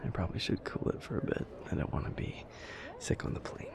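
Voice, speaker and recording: A man's voice: quick pace, lightly acted. A man playing an anxious, self-deprecating vampire boyfriend in an intimate roleplay audio, stammering and rambling, often dropping to a murmur. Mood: sheepish. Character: nervous, self-deprecating immortal male vampire boyfriend, affectionate.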